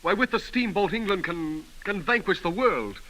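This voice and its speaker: An actor playing an earnest, disappointed American inventor in dramatic 1930s radio style, on a hissy old recording. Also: male voice, high voice, expressive, normal speed, bright, forceful tone, rough recording. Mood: urgent pleading.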